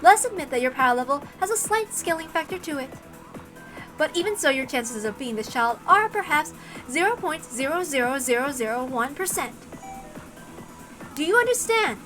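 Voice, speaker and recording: A woman's voice: high-pitched, very animated, moderate pace, over music. A woman voicing a villainous, condescending witch in a dramatic story reading, haughty and mock-polite with cackling laughter. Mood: smug, gloating. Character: gloating villainous female witch Vergilion, mocking and condescending.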